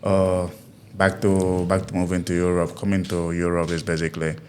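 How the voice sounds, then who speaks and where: A young man from Africa speaks with a Nigerian-tinged accent in a very low voice. He tells his stories in a relaxed, slangy way. He sounds easygoing, as if talking with friends in a casual podcast chat. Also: moderate pace, slight background noise. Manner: relaxed storyteller, laid-back slang.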